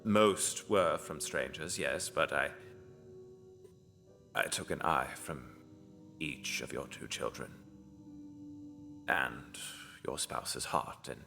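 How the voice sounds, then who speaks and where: Very deep, gravelly underground tailor. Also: male voice, takes it slowly, long pauses, over music, heavily acted. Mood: guilty confession. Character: gruesome but apologetic underground tailor, cult member who stitches corpses. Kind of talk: audio drama acting.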